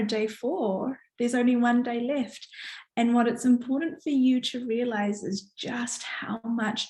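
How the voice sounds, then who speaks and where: A woman's voice: on the high side, darkish tone. A woman coach chatting casually and encouragingly to followers on a live stream, her voice strained from having partly lost it. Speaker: coach hosting a live online challenge. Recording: roomy, echoing live stream.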